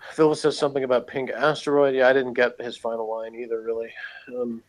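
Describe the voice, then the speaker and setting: Male reviewer, medium pitch, informal conversational delivery, prone to chuckling. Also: little pitch movement, a little fast, rough recording. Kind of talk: casual conversational review chat.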